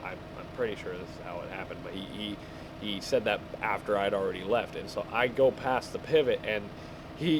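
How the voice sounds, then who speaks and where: A man casually telling rambling stories while he plays a game, halting and easily distracted. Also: on the low side. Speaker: gamer telling stories over gameplay. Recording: clear background vehicle engine noise. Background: game vehicle engine sounds.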